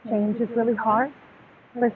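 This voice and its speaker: A woman's voice: fairly high pitch, level tone, measured pace. A woman in her twenties from Texas, talking openly and reflectively in a street interview, with many hesitations. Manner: open, reflective, many ums. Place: outdoors near a park. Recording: phone-like audio with outdoor noise.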